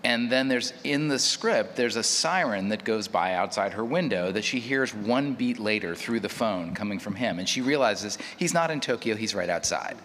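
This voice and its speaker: Low-voiced man in an echoey hall. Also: animated, moderate pace, few pauses, fairly bright tone. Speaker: panelist, audio drama maker. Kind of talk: casual panel talk, anecdote. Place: large reverberant hall at a live panel. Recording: echoey hall, background noise.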